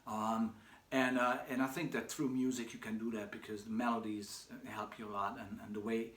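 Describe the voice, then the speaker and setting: A man who grew up speaking Hungarian and German, talking casually about himself, with many pauses, fillers and restarts. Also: lowish voice, little pitch movement, fairly bright tone. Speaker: shop owner answering questions. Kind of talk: casual personal monologue. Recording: echoey room with faint background noise.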